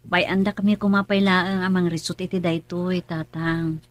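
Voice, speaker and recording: An actress playing a troubled young married daughter in a radio drama, speaking softly and pleadingly. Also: female voice, on the low side, fairly even intonation, moderate pace, dry recording, lightly acted. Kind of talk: Ilocano radio drama acting.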